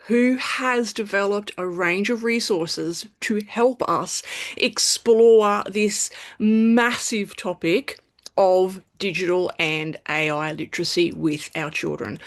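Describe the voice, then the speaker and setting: A woman hosting an interview, friendly and brisk as she moves the conversation along. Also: medium pitch. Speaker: interview host.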